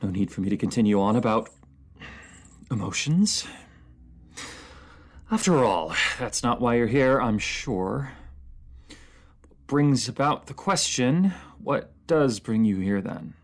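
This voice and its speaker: A man playing a proud, bitter captive ruler in a fantasy audio drama, speaking in formal, haughty, taunting phrases with a gravelly voice. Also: medium pitch, lively intonation, takes it slowly, pauses often. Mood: bitter, guarded. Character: proud captive enemy ruler, sardonic, bitter, secretly admiring.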